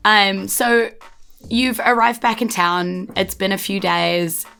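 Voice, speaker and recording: A woman's voice: mid-range voice, takes it slowly, gravelly, bright, forceful tone, clear, resonant tone. A woman with a New Zealand accent, narrating a tabletop game as dungeon master in a chatty way, with frequent laughs and filler words. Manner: chatty, laughs often, many ums.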